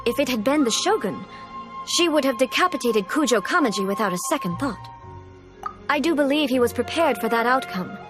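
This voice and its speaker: Solemn immortal game goddess, highish voice, composed and formal, music behind. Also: female voice, expressive, a little fast. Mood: grave, reflective. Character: stern, solemn, dignified female immortal deity ruler. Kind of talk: video game voice acting.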